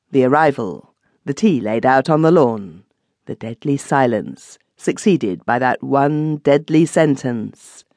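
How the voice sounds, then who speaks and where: A man's voice: medium pitch, a little mellow, no room sound. Man narrating a classic ghost story, close and dry. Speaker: audiobook narrator.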